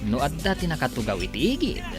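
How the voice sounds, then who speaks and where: A man's voice: on the high side, very animated, fast, over music. An actor playing a deferential, chatty male sidekick to his boss in a radio drama.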